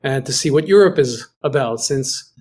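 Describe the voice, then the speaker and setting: A man from Greece talks as an interview guest in a mid-pitched voice. His delivery is conversational and reflective, halting often as he searches for the right word. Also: expressive, a little mellow. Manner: hesitant, halting, pausing to find words. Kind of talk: conversational recollection. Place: remote internet video call.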